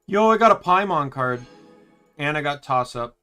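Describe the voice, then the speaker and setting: A man with a bright, slightly high voice talks loosely while he plays a video game in his room. He rambles and trips over his own sentences, and quiet game music plays underneath. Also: expressive, normal speed, clear, resonant tone. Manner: rambling, self-correcting. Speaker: streamer. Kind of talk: casual gameplay chat. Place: home room.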